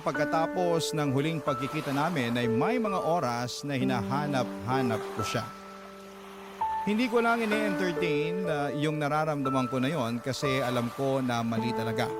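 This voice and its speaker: A man's voice: medium pitch, natural voice. A man narrating a listener's letter in a radio drama, earnest and confiding as he addresses the host. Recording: loud music bed under voice.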